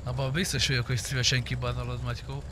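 A man's voice: medium pitch, level tone, background noise. A man chatting casually and crudely, with lots of swearing, while streaming a roleplay video game.

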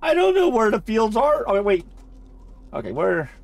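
A man giving casual let's-play commentary, thinking out loud and repeating himself as he goes. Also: sits high, huge pitch swings, normal speed, gravelly, slight background noise. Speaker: gamer playing and commenting on a horror game.

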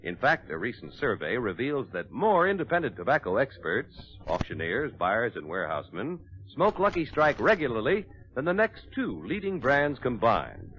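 An American man delivering a scripted 1940s radio commercial as an announcer. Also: medium pitch. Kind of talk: 1940s scripted cigarette advertisement. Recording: dull vintage radio recording.

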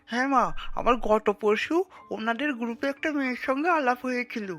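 A man's voice: very high-pitched, moderate pace, a bit bright. A man voicing a dutiful, earnest young adult son in a cartoon. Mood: disapproving.

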